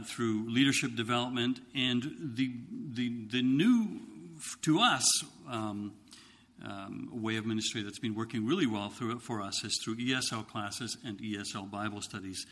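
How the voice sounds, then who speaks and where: A man in his fifties with a slight Scottish accent, speaking to a church congregation as a missionary, halting with many ums and restarts. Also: mid-range voice, a bit bright, big-room sound. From Scotland.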